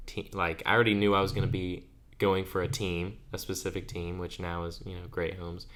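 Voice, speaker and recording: A man's voice: sits low, little pitch movement, fairly quick, fairly bright tone. An eighteen-year-old man co-hosting a podcast, telling stories in a chatty way and laughing as he goes. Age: under 20. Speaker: podcast co-host. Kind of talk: casual chat.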